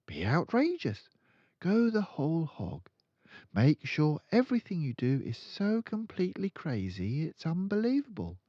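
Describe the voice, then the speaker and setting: A clever little schoolgirl from a storybook, voiced by a man, high and lilting. She is sure of herself and explains things emphatically, with a knowing, matter-of-fact air. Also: dark tone, no room sound, dull audio, exaggerated voice.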